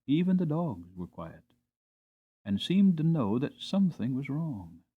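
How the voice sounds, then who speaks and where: Male audiobook narrator, highly varied intonation, mid pitch, dramatic and deliberate, on slightly muffled audio. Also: slightly rough. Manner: expressive, deliberate phrasing. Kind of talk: dramatic scripted storybook narration.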